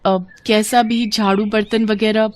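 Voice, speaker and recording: A woman voicing a gentle, devoted young wife in a dramatised moral story, earnest, soothing and respectful. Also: medium pitch, level tone, unhurried, natural voice. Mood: polite.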